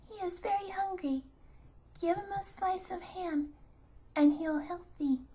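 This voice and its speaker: A woman voicing a group of grateful little mice in a storybook, squeaking high and soft. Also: a little slow. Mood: pleading.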